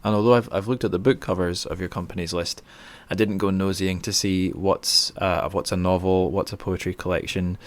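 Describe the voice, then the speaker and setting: A man's voice: low-pitched, steady tone, brisk, few pauses. A man hosting a casual podcast interview, speaking in an awkward, hesitant, self-deprecating way. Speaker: podcast host.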